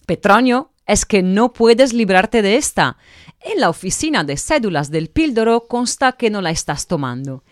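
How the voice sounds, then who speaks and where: A woman's voice: mid-range voice, very animated, moderate pace, no room sound, clean studio sound, close to an everyday voice. A woman playing a blunt, sardonic commentator in an audio drama, speaking emphatically and critically. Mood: accusing, exasperated.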